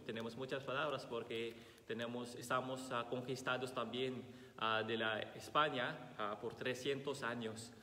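Halting Filipino-accented priest in echoey church. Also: male voice, mid-range voice, steady tone, bright, forceful tone. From Philippines. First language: Tagalog. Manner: slow and halting, many "ah" fillers while finding words. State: slightly sick with a cold. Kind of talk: Gospel reading and homily at Mass. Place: large church, seated at altar. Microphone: fixed camera across the altar. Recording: echoey church with long reverb.

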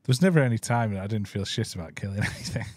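A man in casual podcast banter, throwing in jokes and quick quips. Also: on the low side, animated, darkish tone, no room sound. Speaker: podcast co-host.

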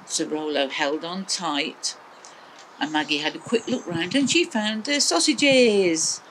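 An older woman with an English accent tells a children's story in simple phrases. Her intonation is very lively. She is outdoors in a garden, with noise audible behind her. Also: fairly low pitch, measured pace. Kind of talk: children's storytelling, talking to small listeners. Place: outdoors in a small back garden.

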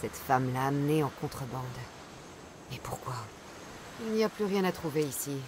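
A woman's voice: low-pitched, lively intonation, normal speed, background noise. An actress playing a tough, wry female mercenary hunter in a French game dub, dry and blunt. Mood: musing. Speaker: video game voice actor.

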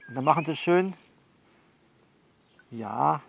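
A man playing a soothing but bossy confidant who coaches someone by phone in an audio drama, halting and repeating himself as he instructs. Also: a little high, animated, vocal fry, a little hiss or hum, exaggerated voice. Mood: bossy, coaxing. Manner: halting, repetitive, instructive, reassuring. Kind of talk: one-sided phone conversation in an audio novel.

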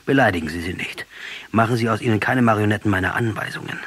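An actor playing a defiant, passionate, sardonic captured guerrilla commander in a German radio drama, combative and mocking, with a husky voice. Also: male voice, lowish voice, quick pace, a bit bright, no echo. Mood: grave, urgent. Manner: combative, mocking, rhetorical, insistent.

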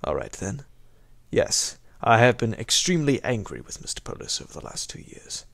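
A man playing an aggrieved, gossipy old butler with a husky, creaky voice and formal, deferential manner in an audio drama. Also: mid-range voice, sing-song, moderate pace, dry recording. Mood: indignant.

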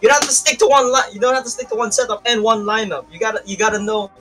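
A man's voice: high-pitched, steady tone, rapid-fire, sharp tone, soft background music. A man joining as guest, talking bluntly and teasing in casual chat, swearing offhand. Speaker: guest co-host.

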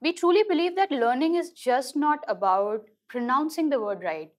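Higher-voiced Indian-accented woman explaining. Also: animated, moderate pace. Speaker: app team designer interviewee. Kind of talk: explanatory interview conversation. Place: at a café table.

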